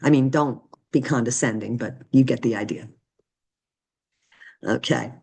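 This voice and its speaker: Woman, deep low voice, nursing instructor, chatty lecture, keeps asking "okay?". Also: unhurried, vocal fry, darkish tone, husky. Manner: conversational, frequent "right?" and "okay?" check-ins. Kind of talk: recorded lecture.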